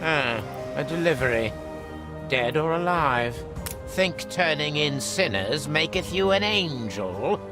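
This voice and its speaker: An actor playing a stern, pious royal lawman in a video game, deliberate and accusing. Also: a little high, expressive. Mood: menacing. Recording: loud music over the voice.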